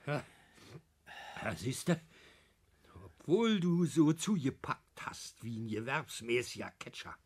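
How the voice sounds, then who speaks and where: An actor in a German radio play from around 1960, playing a bossy small-time crook with a Berlin accent and an emphatic, stammering, colloquial delivery. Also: male voice, mid-range voice, big pitch swings, slow pace, pauses often, husky, full character voice. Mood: scolding, agitated.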